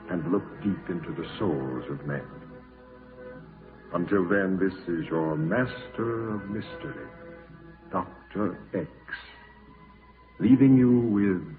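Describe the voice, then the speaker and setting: An actor playing a mysterious master of mystery, hosting a 1940s radio horror show in a grave, theatrical manner on a tinny recording. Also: male voice, fairly low pitch. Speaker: host of horror anthology.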